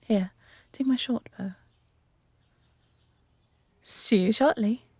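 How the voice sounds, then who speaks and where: Breathy English elf huntress, acted by a woman. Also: a little high, slow, darkish tone, no room sound, heavily acted. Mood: friendly, chatty. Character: friendly adventurous female elf archer and hunter. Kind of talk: scripted roleplay audio drama addressed to the listener.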